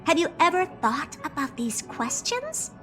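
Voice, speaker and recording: A woman's voice: high voice, big pitch swings, measured pace, a bit bright, exaggerated voice. A woman voicing a proud, eccentric, youthful female genius scientist in a video game, self-assured and theatrical, delivering scripted monologues. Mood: intrigued, awed. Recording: music behind the voice. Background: music.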